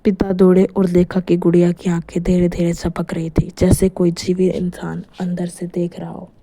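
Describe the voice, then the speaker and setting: A woman's voice: fairly low pitch, completely flat delivery, moderate pace, warm tone. A woman narrator reading a scripted horror story.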